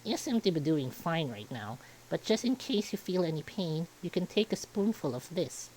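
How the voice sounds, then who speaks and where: A man's voice: fairly high pitch, sing-song, normal speed, a little mellow, slight background noise, voice pushed up, poor audio. A man voicing a polite, reserved raven doctor in a visual novel, a bit hesitant in manner. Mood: helpful. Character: reserved raven doctor, male, slightly annoyed, curious.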